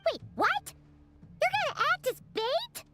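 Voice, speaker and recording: A woman voicing a small, excitable, inquisitive fairy companion in a video game, with a chirpy, childlike, blunt manner. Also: very high voice, unhurried, heavily acted. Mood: alarmed. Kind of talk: animated video game character acting. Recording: music behind the voice.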